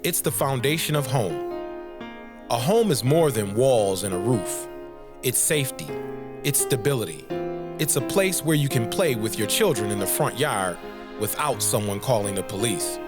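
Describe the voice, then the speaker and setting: A male podcast host giving scripted narration in a measured, emphatic way before he interviews his guests. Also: medium pitch, expressive, over music.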